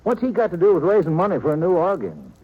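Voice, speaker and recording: An actor playing a folksy, evasive small-town sheriff in an old radio western, blustering and hedging with nervous geniality. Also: male voice, high-pitched, huge pitch swings, fast talker. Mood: flustered, cagey. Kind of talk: 1950s radio western acting. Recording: dull, slightly noisy vintage radio recording.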